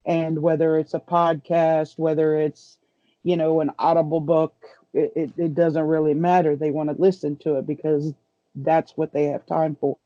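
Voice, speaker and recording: A man's voice: a little high, little pitch movement, normal speed, dry recording. A man explaining casually as a podcast co-host, on a dull lo-fi recording. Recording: dull lo-fi sound.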